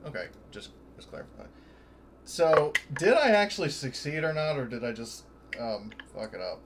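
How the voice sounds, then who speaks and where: A man's voice: mid-range voice, sing-song, moderate pace. Casual male tabletop player, faint noise. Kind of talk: casual game chat.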